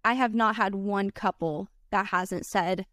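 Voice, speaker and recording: A woman's voice: medium pitch, measured pace, a bit bright, no room sound, studio quality. A woman wedding filmmaker, a podcast guest, talking in an earnest, chatty way full of "like" and "you know". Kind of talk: casual podcast chat.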